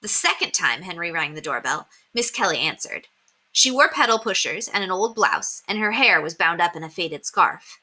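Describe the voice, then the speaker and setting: A woman narrates a children's storybook with a bright voice that moves freely up and down. She reads clearly and expressively, in the manner of an elementary read-aloud, and her pitch sits a little low. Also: sing-song, normal speed.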